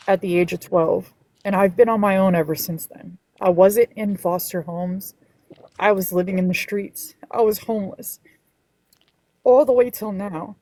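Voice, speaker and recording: A woman guest on a live panel, speaking earnestly and emotionally, sometimes halting. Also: medium pitch, mellow, no room sound. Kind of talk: personal testimony on a live stream.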